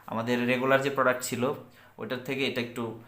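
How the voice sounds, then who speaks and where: A man with a Bangladeshi accent gives an unscripted product review and sales pitch, halting and repeating himself as he searches for words. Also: flat delivery, fairly bright tone. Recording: echoey room.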